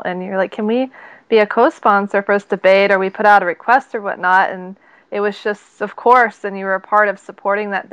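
A woman's voice: mid-range voice, fairly bright tone, clean tone, dry recording, highs cut off. A woman hosting an interview show, talking in a chatty way with frequent restarts. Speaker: host.

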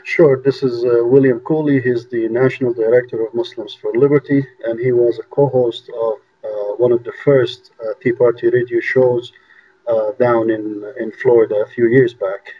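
A man from Egypt, a guest on an interview show, talking by phone in a halting way with many fillers. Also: mid-range voice, fairly even intonation, husky. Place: home in New York. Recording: dull lo-fi phone line.

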